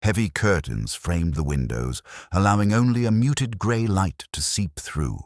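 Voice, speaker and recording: A very deep-voiced man narrates a period detective tale. His pitch moves expressively through each line, and the sound is dry, with little room in it. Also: expressive, measured pace, a little mellow, dry recording. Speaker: audiobook narrator. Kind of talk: scripted dramatic narration of a Victorian detective story.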